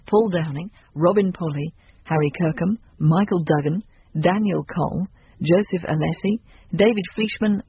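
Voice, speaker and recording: A man's voice: on the high side, husky. A man reading a scripted announcement for a radio drama as its announcer. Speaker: continuity announcer. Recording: muffled radio recording, faint noise.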